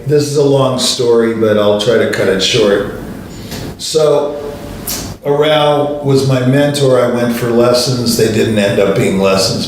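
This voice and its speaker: A man's voice: mid-range voice, slight background noise. Older man telling stories in an echoey room. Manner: anecdotal, conversational, many fillers. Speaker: show host, pianist. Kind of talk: storytelling monologue. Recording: distant mic in a very echoey room.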